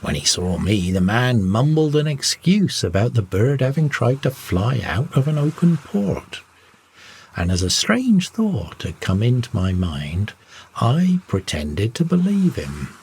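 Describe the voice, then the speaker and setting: A man reading a scripted first-person bedtime story in a measured, expressive storytelling manner. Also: medium pitch, slightly rough. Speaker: storyteller, audiobook narrator.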